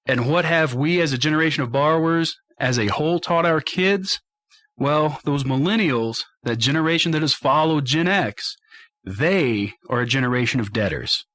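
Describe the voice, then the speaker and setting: A middle-aged American man hosting a talk radio show, speaking straight to listeners with deliberate, emphatic phrasing. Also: fairly high pitch, animated, a bit bright, no room sound. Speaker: radio show host and financial advisor.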